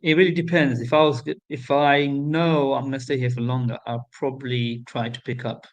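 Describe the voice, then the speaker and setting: A man's voice: mid-range voice, level tone. Hesitant man calling into a livestream. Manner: halting, many ums and restarts. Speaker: caller on a livestream. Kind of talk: casual chat.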